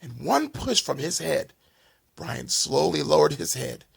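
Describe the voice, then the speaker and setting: A man hosting a children's story time, narrating a storybook in a dramatic, expressive way. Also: on the high side, a little slow, creaky, breathy edge.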